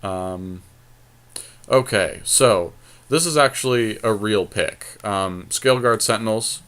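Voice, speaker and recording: Male podcast co-host, lowish pitch, casual gaming commentary, thinking out loud. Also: slow pace. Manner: thinking aloud. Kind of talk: casual commentary on a card game draft.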